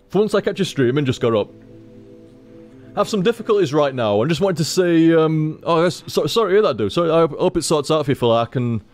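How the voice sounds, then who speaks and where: A man's voice: medium pitch, darkish tone. Rambling man with faint music. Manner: rambling, repeats phrases, swears freely. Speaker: streamer. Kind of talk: casual stream chat reading tips.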